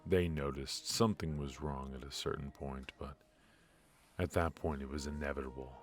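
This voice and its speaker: Man playing a very deep-voiced, brooding mafioso. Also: fairly even intonation, music in the background. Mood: confiding, measured. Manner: halting, hesitant, confiding delivery. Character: brooding male mafia defector, ruthless yet remorseful, softly teasing. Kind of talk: intimate ASMR roleplay acting, noir audio drama.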